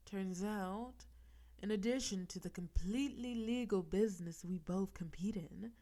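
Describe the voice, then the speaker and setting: Woman performing a female crime boss, lowish creaky voice, teasing, self-assured, menacing, suggestive, audio roleplay. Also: warm tone. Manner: teasing, suggestive, slow deliberate delivery with breathy asides. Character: flirty, menacing female mafia boss, smug and confident. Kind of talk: audio roleplay with gumshoe cliches.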